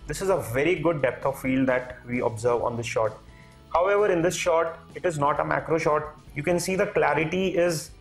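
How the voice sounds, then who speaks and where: A man giving a product review as a tech reviewer, explaining things step by step. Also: fairly high pitch.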